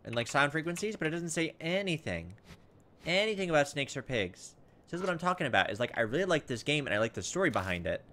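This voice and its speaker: A man giving a casual let's play commentary, thinking out loud, reading game text and tossing in exasperated asides. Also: fairly high pitch, sing-song, quick pace, a little hiss or hum. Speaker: gaming video host. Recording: background music behind voice. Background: video game music.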